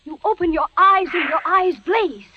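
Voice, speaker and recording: An actress playing an urgent, devoted beloved in a 1940s radio horror drama, dramatic and imploring. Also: female voice, very high-pitched, normal speed. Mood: tender, questioning. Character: urgent, devoted woman, a prisoner's imagined beloved. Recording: noisy, muffled 1940s radio recording.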